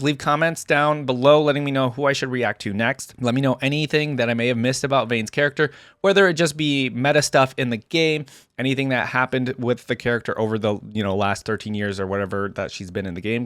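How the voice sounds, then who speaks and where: A man's voice: mid-range voice, expressive, fast talker, fairly bright tone, dry recording, studio quality. A man giving casual game reaction commentary in an informal, chatty way, thinking aloud as he goes. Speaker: reaction video creator.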